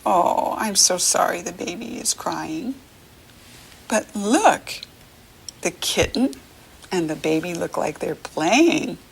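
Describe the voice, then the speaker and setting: An American woman teaching young children through a picture book, speaking to the child directly in simple, encouraging phrases with pauses. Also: lowish voice, lively intonation, gravelly, a little hiss or hum.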